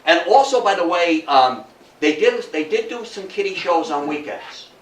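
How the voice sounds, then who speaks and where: An older man gives an informal talk to a group in an echoey room, picked up at some distance from the mic. He tells it like an animated storyteller, repeating phrases for emphasis. His voice sits a little high for a man, with some noise behind it. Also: moderate pace. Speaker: lecturer at a historical society talk. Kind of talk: informal lecture to an audience. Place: indoor talk room with audience.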